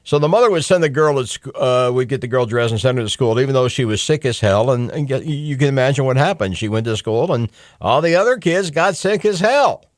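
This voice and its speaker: A middle-aged male radio host lectures his listeners in a blunt, slightly profane way, stumbling through fillers and restarts. His pitch rises and falls in an animated way. The studio mic sounds close and dry. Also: brisk, no room sound. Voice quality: blunt, mildly profane, conversational. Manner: lively, hectoring, with frequent fillers and restarts. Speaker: radio talk show host. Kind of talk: call-in talk radio monologue. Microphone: studio microphone.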